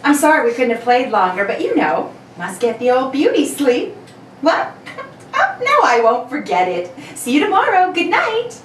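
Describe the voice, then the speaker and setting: A woman playing a nervous but plucky young single lady in a 1930s radio play, exclamatory and expressive. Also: high-pitched, normal speed. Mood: chatty. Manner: chatty, exclamatory, defiant when pressed. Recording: roomy, distant mic, faint noise.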